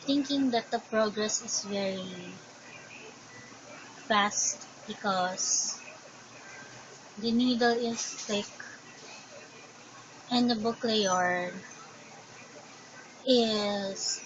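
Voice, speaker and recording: Filipino woman, crafting vlogger, unhurried and hesitant, dull home recording with steady hiss. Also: mid-range voice. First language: Tagalog. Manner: slow, hesitant, thinking aloud. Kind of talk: casual show-and-tell. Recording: dull lo-fi sound with background noise.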